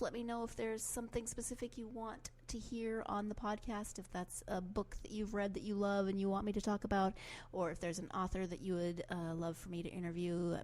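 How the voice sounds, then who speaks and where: A woman hosting a book podcast talks straight to her listeners with chatty enthusiasm and little asides. Her mid-range voice is a touch hoarse from a lingering cold. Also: medium pitch, a little mellow. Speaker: podcast host. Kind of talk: solo podcast outro.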